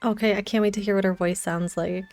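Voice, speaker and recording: A woman's voice: mid-range voice, fairly even intonation, quick pace, a little mellow, soft background music. A woman streamer reacting casually and gushingly to a game live, with exclamations.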